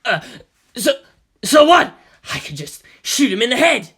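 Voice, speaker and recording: A man voicing a panicking, aggressive young male robber in a story reading, shouting and blustering. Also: very high voice, very animated, measured pace, breathy edge, pitched above the performer's own voice, heavily acted. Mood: desperate bluster.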